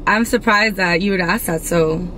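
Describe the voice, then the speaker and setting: A woman in her twenties answers questions with pauses and fillers, and she giggles easily. Loud traffic noise runs under her voice. She sounds casual and a little unsure. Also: lowish voice. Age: 20s. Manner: hesitant, giggly. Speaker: interviewee. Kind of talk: casual street interview.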